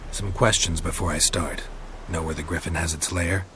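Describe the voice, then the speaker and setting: A man's voice: low voice, steady tone, moderate pace, fairly bright tone, a little hiss or hum. An actor playing a terse, dry middle-aged male monster hunter in video game dialogue, with game music behind. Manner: terse, dry, clipped sentences.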